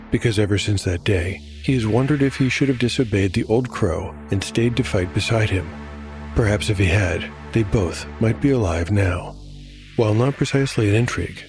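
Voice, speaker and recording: Low-voiced man narrating dark fantasy. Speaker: narrator and solo game master. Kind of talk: scripted dark fantasy narration with game commentary.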